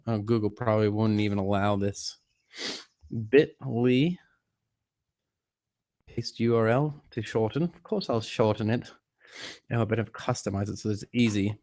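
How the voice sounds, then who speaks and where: A man's voice: fairly low pitch, unhurried, pauses often, darkish tone. A man hosting a livestream in a put-on English accent, rambling to viewers in a self-deprecating, mock-formal and theatrical manner. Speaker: livestream host. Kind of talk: rambling live chat to viewers.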